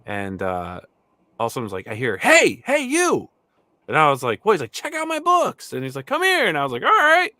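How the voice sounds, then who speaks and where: Animated bright-voiced male podcast host. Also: a little high, a little fast, no room sound, studio quality. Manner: animated, enthusiastic, many restarts. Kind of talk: casual video podcast chat.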